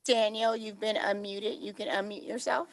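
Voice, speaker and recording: A woman in a middle register runs a public meeting's speaker queue. She speaks slowly and formally, repeating set phrases as she calls each name. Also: medium pitch, fairly even intonation. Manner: slow, formal and repetitive. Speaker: meeting clerk. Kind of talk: procedural meeting announcements. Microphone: remote call audio.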